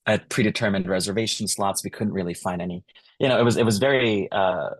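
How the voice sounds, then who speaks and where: Low-voiced man answering with many ums. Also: quick pace, no room sound. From Philippines. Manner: hesitant, lots of ums and uhs. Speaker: guest, wine professional. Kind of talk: casual interview answers.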